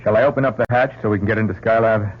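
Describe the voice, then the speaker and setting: A man's voice: on the low side, steady tone, very fast, natural voice. An actor playing a blunt, skeptical male astronaut crewman, talking colloquially in an old radio drama. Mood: matter-of-fact. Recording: dull noisy old radio recording.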